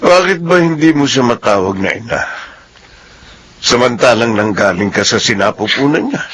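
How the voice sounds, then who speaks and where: A man's voice: mid-range voice, lively intonation, moderate pace, fairly bright tone, breathy edge. Firm, earnest family father. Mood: firm, reproachful. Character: firm, protective father defending his returned wife. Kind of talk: Filipino radio drama acting.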